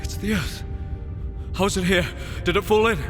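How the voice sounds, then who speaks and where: A man's voice: high-pitched, fairly even intonation, natural voice. A man playing a reckless, emotional space pilot, easily awed and bewildered, in a science-fiction audio drama. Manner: emotional, halting, exclaiming. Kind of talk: sci-fi audio drama acting. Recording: loud music over the voice.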